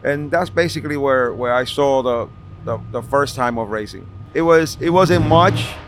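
Halting middle-aged New man, noisy background. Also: medium pitch. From New York City, Washington Heights. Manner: halting, repeats words. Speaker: podcast guest, veteran street racer. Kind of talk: casual reminiscing in an interview. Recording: clear background noise of racing event. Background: vehicle and event noise.

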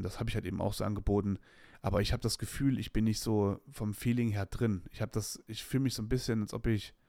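Podcast host, male, late 20s; rambling candid monologue, lowish voice, dry close mic. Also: darkish tone, no echo. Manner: rambling and candid, with restarts and slang. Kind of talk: casual personal monologue.

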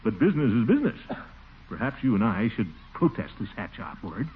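Blustering, pompous neighbor, swooping pitch. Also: male voice, sing-song, a little fast. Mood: ingratiating. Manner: blustering, indignant, prone to nervous chuckles. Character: pompous, fussy middle-aged man, a blustering busybody neighbor. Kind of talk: 1940s radio comedy acting. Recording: tinny, noisy 1940s radio recording.

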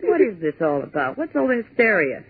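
A woman's voice: mid-range voice, big pitch swings, slightly rough, full character voice. An actress playing a brash, sarcastic young woman typist in a 1940s radio drama, with a scoffing, bossy way of talking. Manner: scoffing, bossy, quick retorts. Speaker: office typist. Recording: tinny 1940s radio broadcast.

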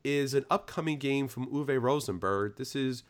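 A man's voice: mid-range voice, moderate pace, clean tone. A man co-hosting a podcast, talking in a casual, chatty and enthusiastic way with frequent restarts. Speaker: podcast co-host. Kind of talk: casual chat.

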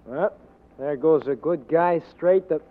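Wry high-pitched newsman, played by a man. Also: a little slow, background noise, natural voice. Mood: mocking. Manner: mocking, wry. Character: needling, wry newspaper reporter baiting officials. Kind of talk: 1940s radio acting. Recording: tinny, narrow-band 1940s radio recording.

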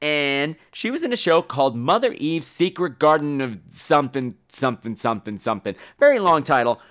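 A man talks casually as a solo podcast host. His voice sits a little high and swings up and down a lot. He rambles in a campy, bawdy, self-mocking manner. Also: lively intonation, a little fast, fairly bright tone. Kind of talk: casual rambling solo podcast talk about shows and films.

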